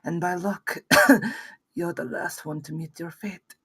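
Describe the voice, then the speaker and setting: A woman playing a dying female warrior monk at a script table read, bitter and weary, with a husky, breathy voice. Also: on the low side, fairly even intonation, takes it slowly, no room sound, clean studio sound, full character voice. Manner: weary, scornful, broken by coughs and gasps.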